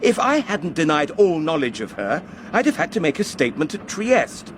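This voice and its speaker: Self-serving English gentleman, sardonic, clipped, slightly high voice, very varied pitch, radio drama. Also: very animated, moderate pace, background noise. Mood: cold, sardonic. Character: self-serving, anxious English adulterer, smooth and condescending. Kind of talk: British radio drama acting.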